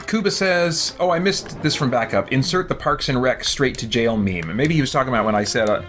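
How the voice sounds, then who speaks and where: A man's voice: mid-range voice, lively intonation, moderate pace, a bit bright, faint music behind. A man hosting a casual gaming live stream, chatting and thinking aloud as he plays.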